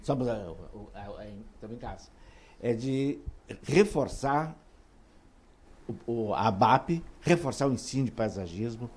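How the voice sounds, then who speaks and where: A man moderating a conference panel, halting and repeating words as he talks. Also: medium pitch, lively intonation, darkish tone, breathy edge. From southern Brazil. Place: large auditorium stage.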